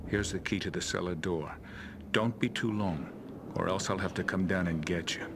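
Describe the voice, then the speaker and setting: A man's voice: low voice, moderate pace, background noise. A man playing a wary but friendly middle-aged tavern regular in a fantasy video game, familiar and cautioning. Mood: resigned, helpful.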